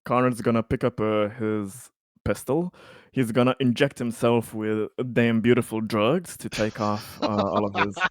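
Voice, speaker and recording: A man's voice: lowish voice, fairly even intonation, unhurried, no echo, studio quality. A man playing a tabletop roleplaying game, casually narrating what his character does in the third person. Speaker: player.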